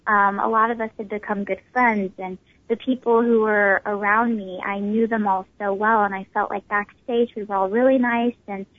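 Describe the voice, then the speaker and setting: A woman's voice: medium pitch, steady tone, moderate pace, no room sound. A woman in her twenties with a small, tiny voice, answering interview questions politely with many ums. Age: 20s. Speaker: pageant winner guest. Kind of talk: phone interview. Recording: tinny phone line.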